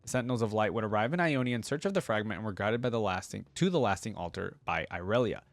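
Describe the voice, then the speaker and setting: A man giving casual, conversational reaction commentary as a video creator, thinking out loud and sometimes reading aloud. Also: fairly low pitch, quick pace, no room sound, faint music behind. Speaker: video creator reacting to game lore and skins.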